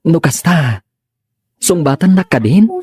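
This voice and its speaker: A man's voice: fairly high pitch, lively intonation, unhurried, a little mellow, breathy edge, no room sound, clean studio sound, full character voice. A man acting an earnest, devoted young suitor of simple means in an Ilocano drama. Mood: loving, sincere. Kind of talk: Ilocano comedy radio-style drama acting.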